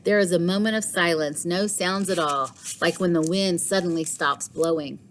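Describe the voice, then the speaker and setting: A woman teacher reading a storybook aloud to children in an expressive way, with deliberate dramatic pauses. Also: fairly low pitch, a little hiss or hum.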